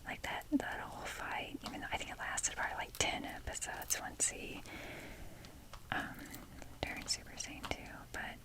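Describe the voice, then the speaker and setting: A woman whispering in a breathy voice as she rambles casually and wryly in an informal, fannish ASMR style. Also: normal speed. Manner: informal, fannish, wry, mildly sweary. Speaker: ASMR video creator showing a toy collection.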